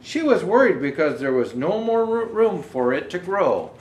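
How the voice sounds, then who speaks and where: Male children's storyteller, highish voice with animated swings, halting read-aloud delivery with asides about pictures, roomy echoing recording. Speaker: storyteller presenting as Santa Claus.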